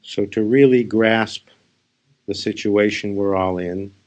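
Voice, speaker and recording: A man speaking as a spiritual teacher, leading a guided meditation in short, unhurried phrases with pauses. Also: on the low side, steady tone, darkish tone. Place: live session.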